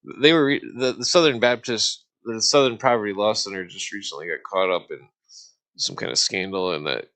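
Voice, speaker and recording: A man hosting a casual, rambling discussion, speaking haltingly and stammering over his words. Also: on the low side, fairly bright tone.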